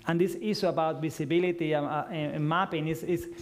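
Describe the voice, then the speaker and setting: Halting high-voiced man at a lecture. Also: fairly even intonation. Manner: halting, many restarts, tag "no?". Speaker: speaker answering audience questions. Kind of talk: conference Q&A. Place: gallery lecture room with audience. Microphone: headset mic.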